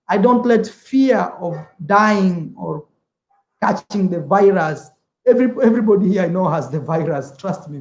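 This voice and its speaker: A man's voice: high voice, darkish tone, echoey room. A young man from East Africa whose first language is Swahili, chatting casually on a travel livestream, rambling, repeating words and calling the viewer "man". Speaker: travel vlogger.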